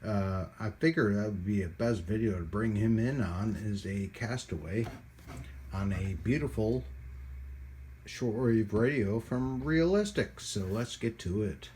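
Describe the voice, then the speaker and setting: A man giving a casual hands-on product demonstration as a channel host, rambling and pausing often as he goes. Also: fairly low pitch, a little mellow, a little hiss or hum. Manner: halting, rambling delivery with frequent pauses. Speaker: host of a radio hobby channel. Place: indoors at a hobby workbench.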